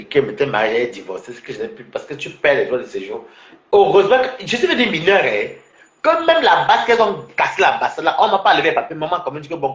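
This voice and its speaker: A man's voice: mid-range voice, a bit bright, reverberant, muffled recording. Rambling man from Cameroon talking casually. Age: adult. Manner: rambling, many restarts and fillers. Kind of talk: casual personal monologue.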